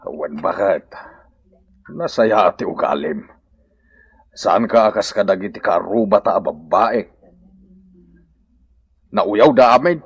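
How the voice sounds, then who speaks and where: Raspy, grumbling old husband. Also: male voice, lowish voice, expressive, slow pace, pauses often, dry recording, exaggerated voice. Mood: grateful, plaintive. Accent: Ilocano. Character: ailing, grumbling elderly husband in a village comedy. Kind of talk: Ilocano radio comedy drama acting.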